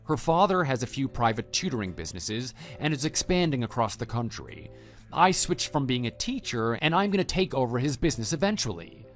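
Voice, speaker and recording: A man playing a calm, matter-of-fact husband and former teacher in a scripted online radio drama. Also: medium pitch. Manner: measured, matter-of-fact, quietly dry. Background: background music.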